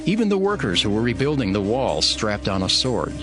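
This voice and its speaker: A man's voice: fairly low pitch, big pitch swings, a little fast, over music. A man playing a resolute, devout leader narrating dramatized scripture in an earnest, declamatory way. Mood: measured, resolute. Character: Nehemiah, resolute devout male leader rallying his people.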